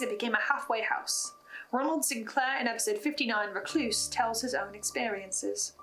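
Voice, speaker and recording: Female recap host, higher voice, scripted storytelling with personal asides, faint music underneath. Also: fairly bright tone, low-quality recording. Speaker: host of a recap and theory video on a horror podcast.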